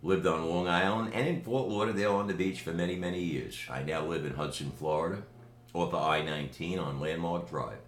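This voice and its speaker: An older man from New York City with a New York accent, recording a boastful, emphatic video message at home. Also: low voice. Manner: boastful, emphatic, slow deliberate delivery. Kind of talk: direct-to-camera video message. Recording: echoey room with faint ambient noise.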